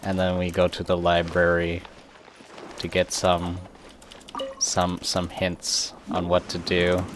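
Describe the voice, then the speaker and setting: A man streaming a game with casual commentary, halting and searching for words in a self-mocking way. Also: low voice, completely flat delivery. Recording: clearly noisy, game sound behind.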